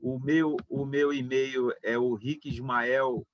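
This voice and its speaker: A man closing an online book launch as moderator, speaking in a measured, hesitant way with many fillers. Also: medium pitch, fairly even intonation, no echo. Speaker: event moderator and book organizer. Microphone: video call. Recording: dull, dry video call audio.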